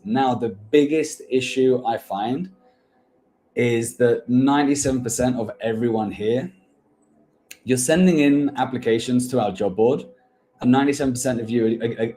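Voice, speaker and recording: A man's voice: medium pitch, moderate pace, mellow, poor audio. A man hosting a live-stream Q&A about getting hired, blunt and coaching, calling people "bro". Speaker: host, recruitment agency owner.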